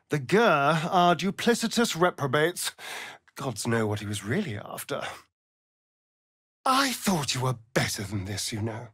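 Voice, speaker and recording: A man's voice: on the high side, a bit bright, breathy edge, no echo, exaggerated voice. A sardonic male vampire rogue for a fantasy video game, with an English accent. He is vain and theatrical, taking his time over words and sliding up and down between purr and outburst. The sound is dry, with no room around it. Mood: bitter. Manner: arch, drawling, mocking, swinging between purr and outburst. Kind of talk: video game character voice lines.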